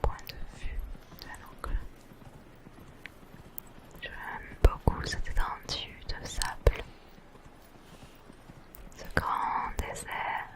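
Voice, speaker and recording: A woman whispers her way through an ASMR gaming video. Her voice is soft and breathy, and her delivery is extremely slow, with drawn-out phrases. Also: rough recording. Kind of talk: ASMR video game commentary.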